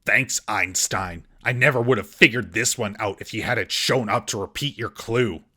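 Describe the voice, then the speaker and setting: A man's voice: lowish voice, moderate pace, sharp tone, soft background music. A man narrating a scripted comedic movie roast as host, in a sarcastic, mocking manner.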